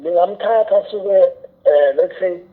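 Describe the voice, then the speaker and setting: A man's voice: high-pitched, moderate pace. A man with a South African accent explaining things in an interview, posing rhetorical questions and dropping in English phrases, through a narrow phone-like recording. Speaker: radio drama scriptwriter and teacher, interview guest. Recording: tinny, narrow phone-like sound.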